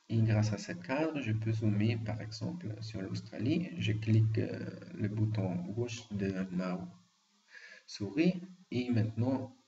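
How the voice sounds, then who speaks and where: German-accented male tutor, low, dark tone, faint background hiss, halting explainer delivery. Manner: hesitant, frequent "euh" fillers, careful explaining. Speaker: software tutorial presenter. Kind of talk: screen-recorded software walkthrough. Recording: muffled lo-fi voice-over with faint hiss.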